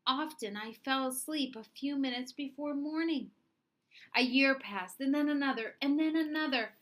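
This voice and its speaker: Bright, high-voiced woman reading a storybook. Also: normal speed. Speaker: storybook reader. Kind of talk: expressive read-aloud narration for children.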